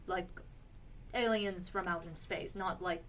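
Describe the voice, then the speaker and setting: A woman playing a sceptical, exasperated housewife with incredulous, deliberate questions in a radio comedy. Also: on the low side, fairly even intonation, fairly bright tone. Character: sceptical, exasperated housewife humoring her odd neighbor. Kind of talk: stage radio comedy acting.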